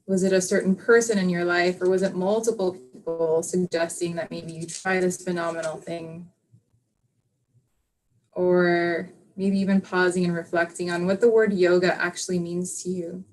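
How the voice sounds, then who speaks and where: A woman yoga teacher leading a live online class over a video call, guiding a reflection softly and unhurriedly with many fillers. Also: on the low side, level tone, moderate pace, low-quality recording.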